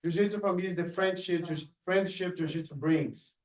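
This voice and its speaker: Coach talking in an echoey gym. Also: male voice, high voice, moderate pace, recorded from a distance. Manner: heartfelt, acts out quotes with a rising voice. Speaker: jiu-jitsu coach. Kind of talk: speech at a belt promotion. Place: martial arts gym.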